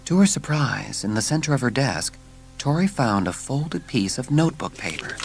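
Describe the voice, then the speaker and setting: Male children's story narrator, medium pitch. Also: big pitch swings, moderate pace, vocal fry, faint music behind.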